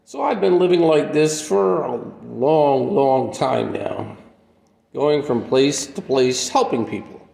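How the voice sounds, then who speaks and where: A man playing an aging, modest, wistful drifter in a radio play, speaking in a halting, plainspoken, reflective way. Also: mid-range voice, vocal fry, mellow. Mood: earnest, matter-of-fact. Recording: echoey hall-like reverb.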